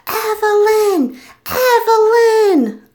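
A woman voicing a livid, foul-mouthed jilted man who is loud, exasperated and accusing as she tells a story. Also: high-pitched, big pitch swings, normal speed. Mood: livid, screaming.

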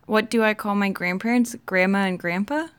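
Canadian woman, chatty and relaxed, casual accent-tag host. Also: mid-range voice, brisk, clean tone. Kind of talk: casual chat.

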